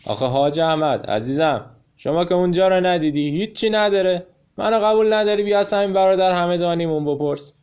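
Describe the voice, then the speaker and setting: A man's voice: high voice, few pauses, a little mellow, clean tone, dull audio, pitched above the performer's own voice, natural voice. A man reading an audiobook memoir, voicing a startled male military commander who pleads in a raised voice. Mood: pleading.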